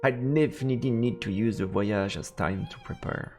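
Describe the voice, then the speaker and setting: A man's voice: fairly low pitch, moderate pace, darkish tone, echoey room, long reverb tail. A man live-streaming a visual novel, reading the story text aloud and adding casual asides, with game music behind him.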